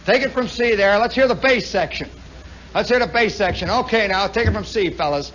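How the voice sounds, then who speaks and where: A gruff old band director calls out orders to his musicians, as a man puts him on. His voice sits high and comes through commanding. It is heard in a dull, muffled 1960s radio broadcast. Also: brisk, a bit bright, a little hiss or hum.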